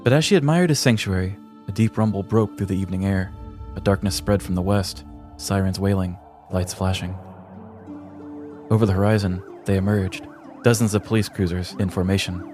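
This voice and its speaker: Male narrator for comic true-crime storytelling: low-pitched, scripted, dramatic, with faint music underneath. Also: steady tone, brisk, a little mellow. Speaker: podcast host.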